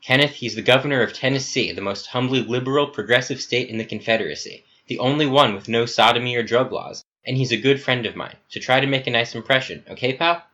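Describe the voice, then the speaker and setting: A man's voice: mid-range voice, fast, few pauses, sharp tone, dull audio, voice pushed up, close to an everyday voice. A man voicing a calculating, self-assured artificial human rebel leader in a breezy, matter-of-fact, chummy manner.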